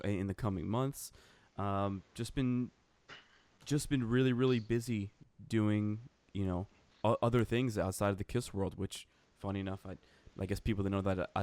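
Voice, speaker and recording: A man's voice: fairly low pitch, a little mellow, clean tone, dry recording. A man co-hosting a casual podcast chat, eager and full of fillers. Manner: enthusiastic, filler-heavy. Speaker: podcast co-host.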